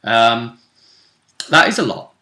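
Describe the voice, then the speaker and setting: A man's voice: medium pitch, big pitch swings, takes it slowly, sharp tone. A man casually explaining the rules of a tabletop role-play game as a host, chatting with a co-host. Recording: roomy, echoing room sound.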